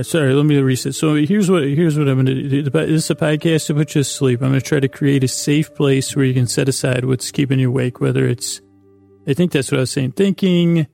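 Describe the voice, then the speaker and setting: A man hosting a bedtime podcast in soothing, lulling tones, with a gravelly voice and a halting, meandering, whimsical way of rambling and stumbling over words. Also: mid-range voice, dark tone. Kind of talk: rambling sleep-aid monologue, bedtime story intro.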